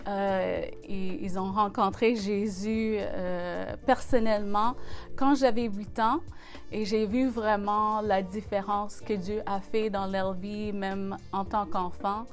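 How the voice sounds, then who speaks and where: Slow-talking English Canadian woman in her forties. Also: mid-range voice, fairly even intonation, faint music behind. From Saskatchewan, Western Canada. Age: 40s. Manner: halting, many hesitations. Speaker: gospel singer and guest. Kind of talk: casual interview.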